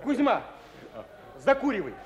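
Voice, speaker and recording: A folksy, thrifty soldier in a radio play. His pitch is high and very animated, with a plain, naive turn of speech. It sounds in a reverberant hall. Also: a little slow, dull audio, full character voice. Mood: matter-of-fact. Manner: rustic, colloquial, naive. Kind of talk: Russian radio play acting. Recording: echoey hall with crowd noise.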